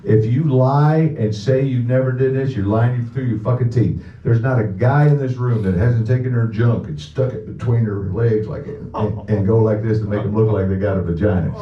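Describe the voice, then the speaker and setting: A man's voice: on the low side, moderate pace, few pauses. A man performing a live stage hypnosis comedy show, bantering crudely and profanely with the crowd. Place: indoor show venue. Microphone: audience camera far from the stage. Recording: distant, muffled, echoey venue with background noise.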